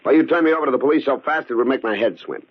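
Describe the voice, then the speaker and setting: An actor playing a cold, calculating murderer in a radio thriller, speaking in a taunting, mock-polite way with a husky voice. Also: male voice, medium pitch, expressive, rapid-fire. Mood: menacing, gloating. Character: cold, gloating male murderer, a resentful long-serving employee. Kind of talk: old-time radio thriller acting. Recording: dull, lo-fi radio drama recording.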